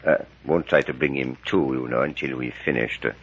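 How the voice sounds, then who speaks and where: A man's voice: extremely low pitch, fairly even intonation, fairly quick, husky, heavily acted. A man playing a commanding professor-surgeon in a 1940s radio drama, giving terse orders with muttered hesitations. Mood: grave, steady. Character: gruff, commanding professor-surgeon, calm under pressure. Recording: muffled vintage 1940s radio recording.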